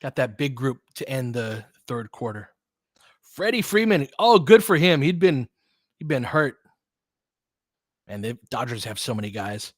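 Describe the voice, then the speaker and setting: A man's voice: mid-range voice, sing-song, a little slow, long pauses, no room sound, clean studio sound. A man with an American accent gives casual live basketball commentary, mixing clipped play calls with informal, chatty asides. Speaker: fan host doing play-by-play commentary.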